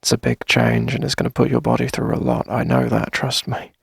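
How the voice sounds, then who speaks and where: A man playing a tender, reassuring boyfriend in an intimate ASMR roleplay, speaking softly and close to the mic with gentle, coaxing pauses. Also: big pitch swings, no room sound, heavily acted. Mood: gently reassuring. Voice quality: husky, breathy, creaky low murmur. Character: tender, reassuring boyfriend longing to start a family. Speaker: ASMR audio roleplay performer. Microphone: close dry microphone.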